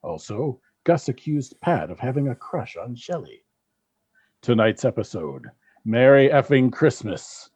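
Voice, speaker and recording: A man's voice: medium pitch, sing-song, a little slow, darkish tone, slightly rough. A man reading scripted narration as the announcer of a comedy radio play.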